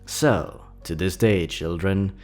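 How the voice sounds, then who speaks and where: A man's voice: sits low, takes it slowly, a little mellow, faint music behind. A man voicing a folksy old grandmother who tells children an ancient tale in a theatrical way. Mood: ominous. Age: older.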